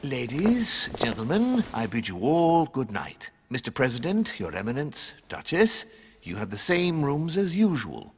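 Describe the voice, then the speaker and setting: An actor playing a formal, deferential servant addressing guests in a video game, heard in a reverberant hall. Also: male voice, mid-range voice, huge pitch swings, a little slow, a little hiss or hum. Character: formal male household servant announcing rooms to guests. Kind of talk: video game cutscene acting.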